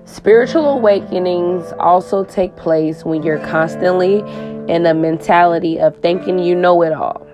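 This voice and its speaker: Raspy woman coaching on spiritual stuff, lowish voice, keeps saying okay, guitar music behind her. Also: normal speed, poor audio. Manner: tags lines with "okay?". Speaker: life coach. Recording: guitar music playing under the voice.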